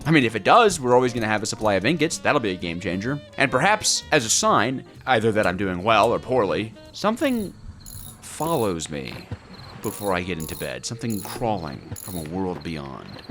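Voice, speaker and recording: Animated, theatrical man narrating over soft music. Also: fairly low pitch, normal speed. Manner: theatrical, mock-grandiose. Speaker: gaming video narrator. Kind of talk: scripted story-driven game narration.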